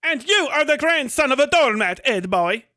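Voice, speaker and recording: A man's voice: extremely high pitch, expressive, normal speed, bright, forceful tone, voice pushed up, exaggerated voice. A man voicing a boastful cartoon shepherd's boy who hurls grandiose, old-fashioned insults.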